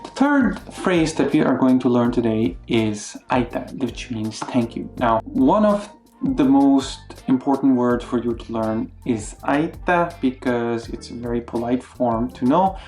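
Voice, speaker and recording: A young man chats through quick phrase tips in a loose, playful way. His tone is mellow and warm, and he often jumps up in pitch when he acts out a greeting. Soft music plays faintly underneath. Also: expressive. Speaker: language learning vlogger. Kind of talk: casual language tips to camera.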